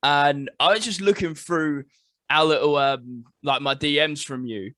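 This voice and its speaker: Man, podcast host, from England, upbeat, slightly high voice, dry close sound. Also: a little slow, fairly bright tone, no echo. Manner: upbeat, leads the chat with short reactions. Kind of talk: casual podcast chat.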